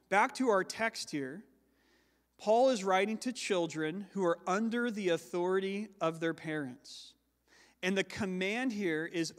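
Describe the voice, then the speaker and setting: High-voiced man preaching in a reverberant hall. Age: adult with children. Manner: emphatic, slows down to stress key words; loud, carrying voice. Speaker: pastor. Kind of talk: sermon.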